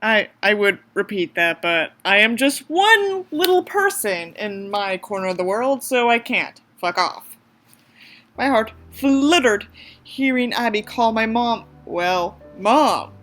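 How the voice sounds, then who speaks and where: A woman's voice: fairly high pitch, animated, slow, a bit bright. A woman giving a mocking dramatic reading of a story, dropping in sarcastic, theatrical casual asides. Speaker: host reading a story aloud with commentary. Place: small room in an apartment.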